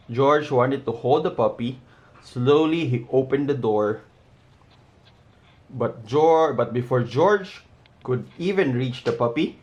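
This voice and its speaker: A man reading a children's storybook aloud in a deliberate way, pausing between short, simple phrases. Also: medium pitch. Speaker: storybook reader. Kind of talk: read-aloud of a children's picture book.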